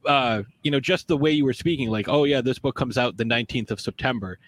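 A man's voice: medium pitch, fast. A man hosting a book interview podcast in a casual, rambling way, full of fillers. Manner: rambling, lots of fillers and restarts. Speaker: podcast host.

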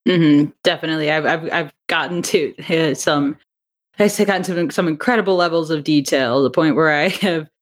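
A woman in a casual interview chat, talking in an animated way and acting out bits of quoted thought as she explains her creative work. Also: low-pitched, moderate pace. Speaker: interview guest, audio drama writer and director.

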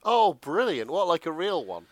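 A man's voice: a little high, big pitch swings, moderate pace, no room sound. A man co-hosting a podcast, chatting casually with joking asides. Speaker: podcast co-host. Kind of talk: casual comic banter.

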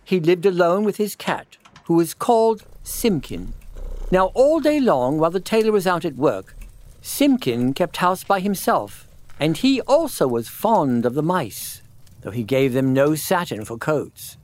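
High-voiced, lively male storyteller. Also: measured pace. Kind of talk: scripted children's storybook narration.

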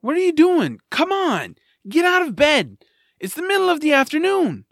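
A man voicing an eager, earnest young boy hero with an excitable manner in a family fantasy audio drama. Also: very high voice, normal speed, voice pushed up, full character voice. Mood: exasperated, pleading.

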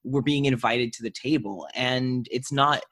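A man's voice: mid-range voice, a little slow, fairly bright tone. Male podcast host, young adult, mid-range voice, informal conversational interview style.

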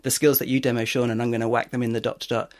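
A man hosting a podcast in relaxed, chatty talk, laughing often. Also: mid-range voice, very fast. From northeast England. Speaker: podcast host. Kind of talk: casual chat.

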